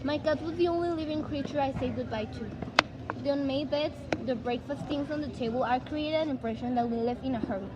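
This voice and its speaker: Woman acting excitable high-voiced young girl Anne. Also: brisk, lo-fi sound, over a noisy crowd, natural voice. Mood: reflective narrating. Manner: eager, exclaiming. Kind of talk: amateur stage dramatic reading.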